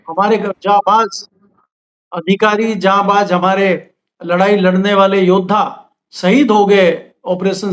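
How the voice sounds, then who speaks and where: A man's voice: sits high, poor audio. A man, a political editor, answering in an interview in a critical, earnest way, on a remote line.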